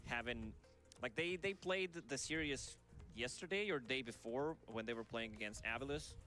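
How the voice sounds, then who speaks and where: Man, esports caster, mid-pitched, analytical draft talk, faint music in the broadcast. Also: moderate pace. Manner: analytical, steady hero breakdowns. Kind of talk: match commentary.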